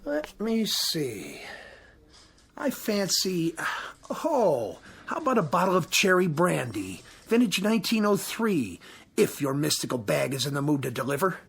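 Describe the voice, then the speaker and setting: An actor playing a pompous, indignant store owner in a radio drama, speaking in haughty, sarcastic, formal phrases. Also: male voice, fairly high pitch, big pitch swings, a little slow, vocal fry, fairly bright tone, slightly rough, exaggerated voice.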